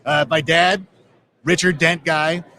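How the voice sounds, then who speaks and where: A man from Southern California hosting a casual live sports podcast, talking in an excitable, rambling way. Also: on the high side, slow pace, creaky. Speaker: podcast host. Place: live podcast table at a busy convention media area.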